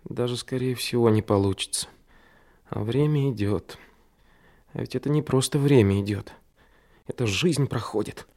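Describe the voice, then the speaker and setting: An actor playing an earnest, anxious, brooding seventeen-year-old trainee detective in a radio drama. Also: male voice, mid-range voice, moderate pace, pauses often, a little mellow, close to an everyday voice. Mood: gloomy. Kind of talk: Soviet radio play acting, inner monologue.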